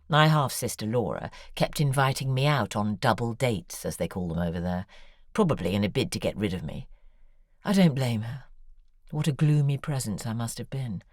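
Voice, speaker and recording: An actress voicing a poised, probing woman novelist in an audio drama, speaking in a measured, wry way. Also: rumbling low, a little mellow, no echo, clean studio sound, heavily acted. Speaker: author character.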